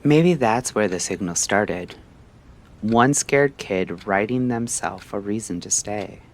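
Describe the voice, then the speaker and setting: Slow, low-voiced male radio storyteller over music. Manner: measured, theatrical, short clipped phrases with pauses. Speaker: radio show host and storyteller. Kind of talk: dramatic scripted first-person narration, late-night radio storytelling.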